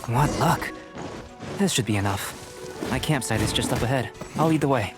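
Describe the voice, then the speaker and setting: A reserved young alchemist from an anime game, played by a man, speaks at a middling pitch with clear music behind. The delivery is measured and composed, precise and analytical like a scholar's. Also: animated, lightly acted. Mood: composed, instructive.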